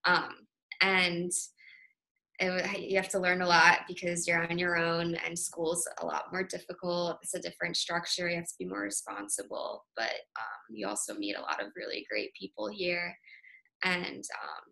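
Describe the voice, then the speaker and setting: A woman's voice: on the low side, steady tone, normal speed, gravelly, sharp tone, low-quality recording. A young woman whose first language is Polish, answering questions on a student panel in a chatty way, with many ums and restarts. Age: young adult.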